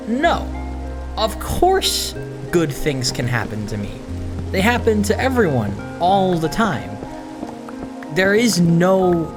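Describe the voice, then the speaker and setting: A man giving a casual, introspective monologue over gameplay, speaking in a halting, musing way and repeating phrases for emphasis. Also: mid-range voice. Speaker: host of a gaming video series.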